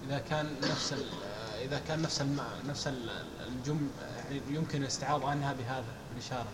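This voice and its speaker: A male student giving a halting, hesitant answer in class, heard from far off. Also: medium pitch, steady tone, a crowd in the background. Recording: distant, echoey room with hiss.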